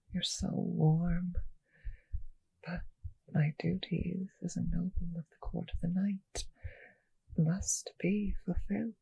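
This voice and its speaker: A woman voicing a seductive, possessive and doting vampire noblewoman in an intimate ASMR roleplay, speaking in tender, teasing, breathy whispers. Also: lowish voice, dark tone, no room sound, lo-fi sound, heavily acted.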